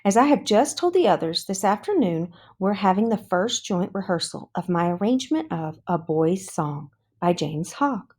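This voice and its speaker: A woman reading a children's storybook, voicing an encouraging, exacting woman orchestra teacher who gives brisk, bright instructions. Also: mid-range voice, very animated, breathy edge. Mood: bright, instructive. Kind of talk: children's storybook read-aloud.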